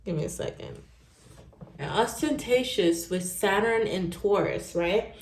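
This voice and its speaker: A woman's voice: mid-range voice, measured pace. Chatty Nigerian woman in an echoey room. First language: not English. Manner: chatty, animated, many "okay?" and "right?" tags. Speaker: tarot reader. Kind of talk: casual spoken tarot reading to viewers. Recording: roomy echo.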